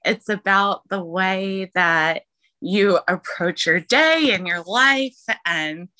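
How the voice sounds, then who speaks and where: A young woman with a light Texan accent speaks slowly and thoughtfully as a podcast guest. Her voice is bright and forward, and her talk is earnest and reflective. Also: medium pitch. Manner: slow, earnest, reflective, with laughs. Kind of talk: casual interview chat.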